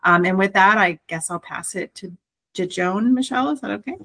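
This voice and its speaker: A woman's voice: mid-range voice, a little fast, sharp tone. A woman program manager introducing herself at a meeting in a chatty way, with many fillers.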